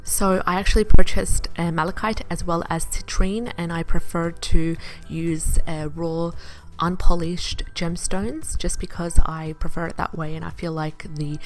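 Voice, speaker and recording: A woman from Iraq narrating her vlog in a chatty, enthusiastic way, pausing often with "uh". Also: lowish voice, fairly even intonation, soft background music. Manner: chatty, enthusiastic, with frequent "uh" pauses. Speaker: vlogger.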